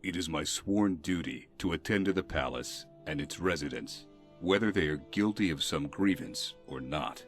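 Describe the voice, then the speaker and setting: A man's voice: sits low, normal speed, soft background music, close to an everyday voice. A man acting a weary, stern city guardsman in a video game, dry and exasperated.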